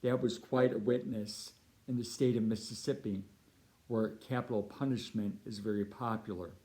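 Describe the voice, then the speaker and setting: A middle-aged man with a Californian American accent, a priest giving a prepared appeal talk in measured, deliberate phrases. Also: on the low side, steady tone, mellow. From United States (Chicago and Southern California).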